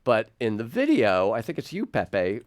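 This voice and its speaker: A man hosting a conversational studio music interview, asking thoughtful questions in a halting, exploratory way. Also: mid-range voice, huge pitch swings, no echo. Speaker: radio host and interviewer. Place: radio studio.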